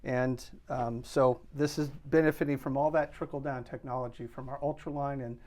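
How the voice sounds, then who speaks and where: A man being interviewed as a company representative, explaining a product conversationally in a halting way that repeats phrase openings. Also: mid-range voice, fairly even intonation, darkish tone. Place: hotel exhibit room at an audio show.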